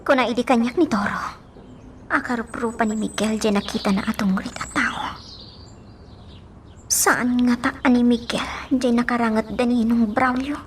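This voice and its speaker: A woman's voice: a little high, fairly even intonation, moderate pace, long pauses, a little hiss or hum, close to an everyday voice. A woman with an Ilocano accent acting a troubled, sentimental young lady in a radio drama. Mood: shocked, wondering.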